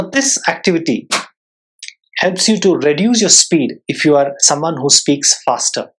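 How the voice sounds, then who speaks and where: An instructor giving an explanatory lesson in a measured, formal, clearly enunciated way. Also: medium pitch, rough recording. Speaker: soft skills instructor and public speaker.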